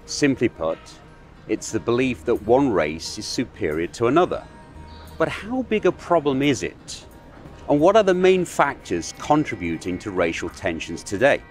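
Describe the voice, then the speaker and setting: A man narrating a documentary in a measured way, asking rhetorical questions. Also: medium pitch, very animated, soft background music. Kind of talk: scripted narration.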